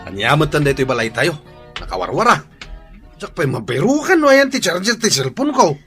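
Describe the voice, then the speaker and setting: An actor with an Ilocano accent playing a nagging, exasperated husband in a radio drama. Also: male voice, fairly high pitch, big pitch swings, brisk, over music. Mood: complaining.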